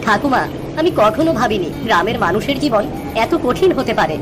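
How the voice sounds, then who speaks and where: An earnest young grandson voiced by a woman speaks with eager curiosity and wonder. The delivery is that of a cartoon moral story about a city child, with clear music behind the voice. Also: a little high, very animated, a little fast. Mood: wondering, concerned.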